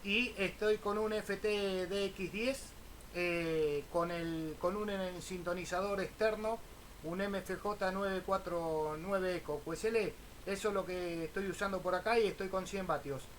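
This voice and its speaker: A man's voice: high voice, fairly even intonation, unhurried, poor audio. A man with a Buenos Aires Spanish accent, chatting casually as an amateur radio operator. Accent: Buenos Aires Argentine Spanish. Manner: spells call signs in phonetic alphabet. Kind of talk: casual ham radio contact. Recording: faint noise behind voice.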